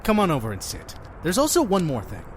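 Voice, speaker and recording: Mysterious inn's keeper, male, played by an actor: chatty, theatrical, highly animated delivery for audio drama. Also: a little fast, a little hiss or hum. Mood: earnest, mysterious. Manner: effusive, talking over his guest.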